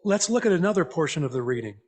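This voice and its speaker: Higher-voiced man giving a conversational sermon. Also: big pitch swings, rapid-fire. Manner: conversational, reflective, repeats listeners' answers back. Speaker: lay preacher giving an interactive church message. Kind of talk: interactive sermon, asking the congregation questions. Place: church meeting, speaking to a congregation.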